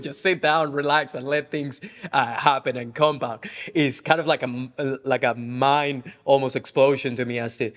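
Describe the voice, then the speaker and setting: A man's voice: mid-range voice, fairly bright tone. A man with a Venezuelan accent and Spanish as his first language, hosting an interview podcast in an enthusiastic way. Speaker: podcast host. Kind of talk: interview questions and podcast introductions.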